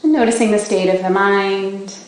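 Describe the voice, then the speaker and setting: A woman's voice: medium pitch, fairly even intonation. Woman guiding meditation in a very echoey room. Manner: slow, gentle instructions with long pauses. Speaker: meditation teacher leading a body scan. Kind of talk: guided meditation, spoken live to a group. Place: large indoor gathering space. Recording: very echoey room with faint background noise.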